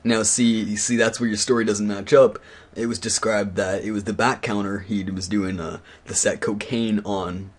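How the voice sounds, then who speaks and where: A man playing a stern, accusing attorney on a prank call, questioning in an official but halting way that repeats words. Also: fairly low pitch, level tone, lightly acted. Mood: probing. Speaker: prank caller. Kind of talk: interrogation on a prank phone call.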